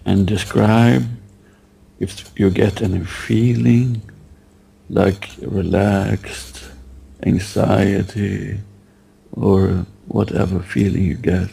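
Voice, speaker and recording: A man leading a guided meditation, giving halting, unhurried instructions and asking gentle questions. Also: fairly low pitch, creaky, slightly rough, rough recording.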